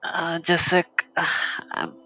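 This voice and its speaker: A man giving casual, low-key live commentary as he streams a game, muttering brief reactions. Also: very animated, slow pace, bright, forceful tone, low-quality recording. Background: game music.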